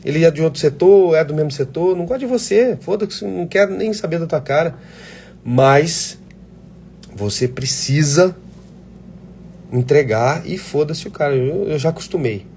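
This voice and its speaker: A man's voice: a little high, expressive, moderate pace, a little mellow, slight background noise. A man, an actor, talking casually and passionately to aspiring actors in a live chat, blunt and swearing freely. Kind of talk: casual live chat answering viewer questions.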